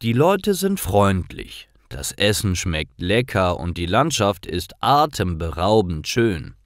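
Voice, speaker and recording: A man narrating scripted stories for language learners, reading simple sentences clearly. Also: low voice, big pitch swings, slow, no room sound, studio quality.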